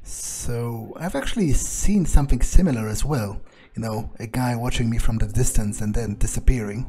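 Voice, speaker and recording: A man's voice: medium pitch, normal speed, warm tone. A man role-playing a game character in a playthrough, thinking aloud in a hesitant, musing way. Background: game music.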